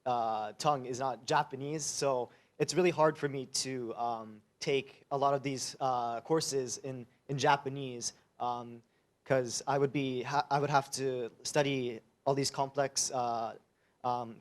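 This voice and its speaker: A young man, a university student, talking casually with lots of ums. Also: medium pitch. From United States. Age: young adult. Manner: casual, relaxed, many ums. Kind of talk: casual guest chat.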